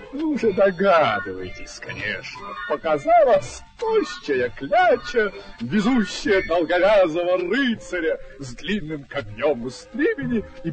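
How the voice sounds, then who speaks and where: An actor narrating a radio play as a wry storyteller, in an unhurried, theatrical way. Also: male voice, high-pitched, heavily acted. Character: storyteller narrator, wry, savouring each picture. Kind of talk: dramatic scripted storytelling narration. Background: music.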